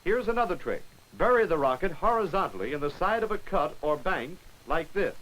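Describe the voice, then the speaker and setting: A man's voice: a little high, sing-song, fairly bright tone, poor audio. Man narrating a 1940s training film. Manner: brisk, instructive, wry. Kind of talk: scripted narration. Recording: old 1940s film soundtrack.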